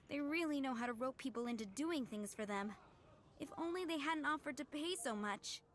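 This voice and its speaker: Actress voicing young waitress, very high voice, apologetic, harried, hesitant, anime game style, background noise. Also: female voice, moderate pace, lightly acted. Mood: exasperated. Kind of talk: English anime game dub acting.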